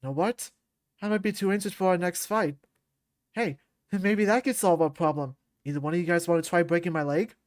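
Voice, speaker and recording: A woman voicing a huge male beast fighter, gruff, proud and grumbling, in a visual novel. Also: fairly low pitch, brisk, no room sound, studio quality, voice pushed down, lightly acted. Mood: grumbling, sardonic. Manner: gruff, defiant, blustering.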